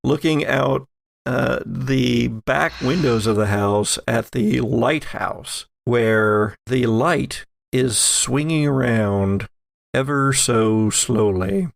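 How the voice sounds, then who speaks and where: A man narrating a horror tabletop game as game master, with deliberate, suspenseful storytelling. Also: mid-range voice, gravelly, no room sound, clean studio sound.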